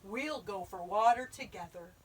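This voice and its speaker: A woman's voice: medium pitch, moderate pace, breathy edge, distant mic, natural voice, rough recording. A woman voicing a patient, kindly grown-up bear in a storybook, speaking in a reassuring way. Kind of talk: storybook read-aloud.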